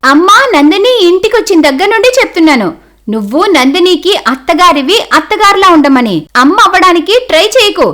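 A woman voicing a jealous, meddling young married woman with a sharp, bossy, complaining manner in a cartoon moral story. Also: extremely high pitch, animated, a little fast. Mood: nagging.

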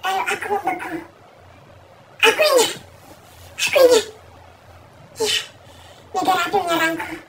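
A woman voicing an earnest young bald boy hero in a comedy parody sketch, with a high squeaky kid voice. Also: moderate pace, creaky, long pauses, heavy background noise.

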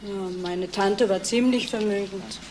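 An actress playing a reserved, matter-of-fact niece questioned by police in a German crime radio play. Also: female voice, medium pitch, measured pace, darkish tone, a little hiss or hum, natural voice, rough recording. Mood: guarded.

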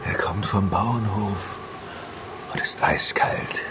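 An actor playing a frightened, weary man in a horror radio drama, speaking in hushed dread. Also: sits low, slow pace, in a whisper. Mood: afraid. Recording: noisy hiss or rain ambience.

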